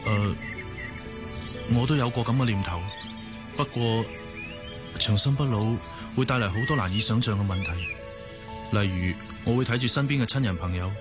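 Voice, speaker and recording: A man's voice: fairly low pitch, moderate pace, long pauses, lightly acted. An actor with a Hong Kong Cantonese accent playing a cautious, devoted man in love in a radio drama. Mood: tender, reflective. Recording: music behind the voice.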